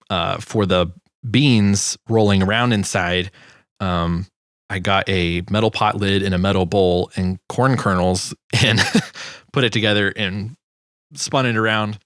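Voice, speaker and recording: A man's voice: on the low side, fairly bright tone, no echo, studio quality. A man giving an informal, self-deprecating walkthrough of his own sound design project, as in a casual tutorial.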